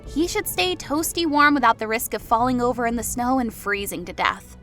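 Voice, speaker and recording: Higher-voiced actress as a chatty fantasy woman. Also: brisk, a bit bright, natural voice. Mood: amused. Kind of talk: acted audio story dialogue. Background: music.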